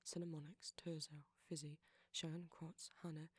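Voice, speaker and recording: A man with a soft, breathy voice, reading a scripted creator's outro. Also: a little high, level tone, normal speed, no room sound. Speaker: audio creator.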